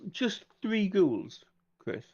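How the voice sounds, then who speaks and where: A man's voice: high voice, very animated, extremely slow, mellow. Man gamer on slightly dull audio. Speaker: tabletop roleplaying game player. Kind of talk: casual in-game chat. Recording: slightly dull, muffled sound.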